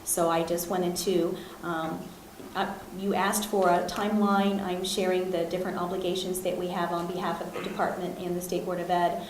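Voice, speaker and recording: A woman's voice: fairly low pitch, little pitch movement, echoey room, slight background noise, low-quality recording. A woman chairing a board meeting, speaking with frequent ums and uhs. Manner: halting, many ums and uhs. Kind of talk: formal meeting remarks.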